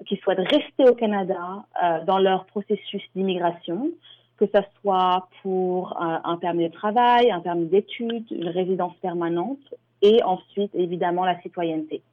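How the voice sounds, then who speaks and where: A woman's voice: on the low side, moderate pace. A woman from France, an immigration lawyer, explaining things as a radio guest with many hesitations. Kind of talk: interview answers. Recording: tinny phone line.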